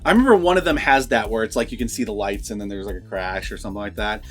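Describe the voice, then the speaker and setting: A man's voice: fairly low pitch, racing, soft background music. A man chatting informally and enthusiastically, unscripted, as host of a horror film review vlog.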